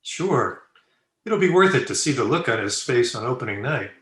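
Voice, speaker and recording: A man's voice: medium pitch, big pitch swings, normal speed, fairly bright tone. A man playing a suave, flippant bachelor playboy in an old-time radio comedy, breezy and self-satisfied. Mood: easygoing. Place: indoor room, remote video call. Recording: roomy echo on home video-call recording.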